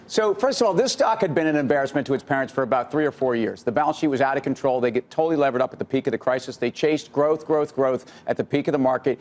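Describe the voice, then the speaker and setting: Man, American accent, trader panelist, rapid delivery, slightly high pitch, spontaneous commentary. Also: a little mellow. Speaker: trader panelist on finance show. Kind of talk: off-the-cuff market commentary.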